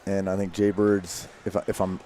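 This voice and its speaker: A man's voice: sits low, little pitch movement, mellow, a little hiss or hum. A man in his late thirties giving chatty, rambling mat-side commentary at a grappling tournament. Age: 30s. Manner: chatty, rambling, quick to laugh.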